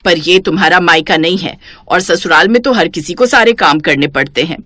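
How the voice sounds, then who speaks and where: A woman's voice: fairly low pitch, brisk, a bit bright, no room sound, studio quality, voice pushed down. A woman voicing a lazy middle-aged mother-in-law in a cartoon story, bossy and full of grumbling excuses. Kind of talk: Hindi cartoon moral story acting.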